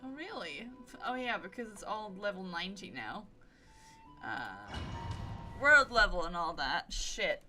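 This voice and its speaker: A woman's voice: mid-range voice, sing-song, a little slow. A woman live-streaming a video game, making casual, playful commentary in short offhand reactions. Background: game music.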